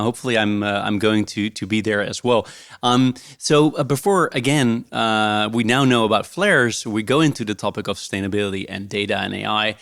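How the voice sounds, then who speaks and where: A man's voice: on the low side, creaky. A man hosting a podcast interview, talking casually and hesitantly with many fillers. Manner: warm, hesitant, many ums. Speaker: podcast host.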